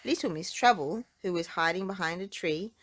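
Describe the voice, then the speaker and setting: A woman reading a children's book aloud in an expressive storytelling style, drawing out words for emphasis. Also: lowish voice, normal speed.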